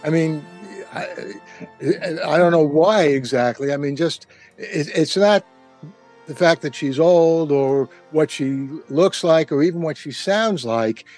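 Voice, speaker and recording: A man speaking as a panelist in a casual roundtable, with a halting, stammering delivery. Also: a little high, darkish tone, faint music behind.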